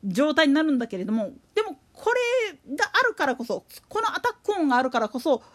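A woman's voice: sits high, sing-song, quick pace. A woman giving casual solo commentary as a podcast host, rambling and opinionated, with emphatic repetition.

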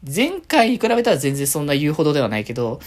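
A man talks alone as a podcast host in a casual, conversational style. His intonation is very lively, rising and dipping often. He rambles and rephrases himself as he goes. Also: fairly high pitch, quick pace. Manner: rambling, self-correcting. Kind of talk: casual solo talk.

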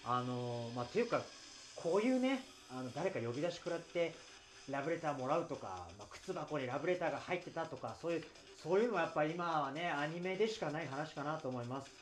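Man with lively, higher-pitched conversational voice. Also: fast, slight background noise. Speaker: podcast host. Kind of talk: casual solo after-talk, explaining scripture.

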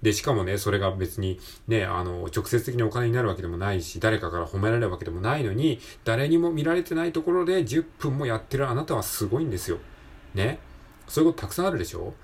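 Male podcast host, rough-edged voice, lively swooping intonation, casual motivational delivery that keeps seeking agreement. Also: fairly low pitch, fast. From Japan. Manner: encouraging, conversational, repeatedly seeking agreement. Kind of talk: casual solo motivational talk.

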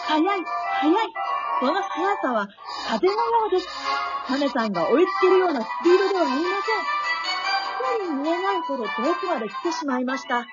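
A woman playing an excitable, wisecracking storyteller, narrating a comic radio drama like play-by-play with dramatic flair. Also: high-pitched, fairly quick, music in the background. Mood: excited, suspenseful. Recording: dull, lo-fi recording.

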